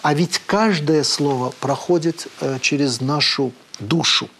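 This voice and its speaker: Earnest man with a higher voice. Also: very noisy. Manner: earnest and deliberate, with frequent hesitant "uh" fillers; slows down to read quoted passages solemnly. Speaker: host of a program on language. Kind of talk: lecture-style talk on words, reading quotations aloud.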